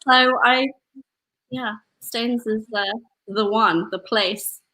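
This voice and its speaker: A woman's voice: a little high, fairly even intonation, takes it slowly, bright, forceful tone, rough recording. A woman from Kenya chatting as a guest on a comedy show. She sounds a little unsure and giggles as she talks. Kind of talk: casual chat.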